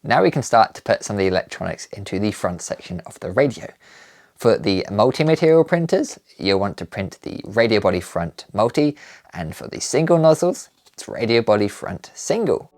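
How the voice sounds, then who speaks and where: A man's voice: on the low side, very animated, moderate pace, a little mellow. A man giving scripted, methodical, step-by-step instruction as the presenter of a maker channel. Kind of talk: scripted tutorial narration. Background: background music.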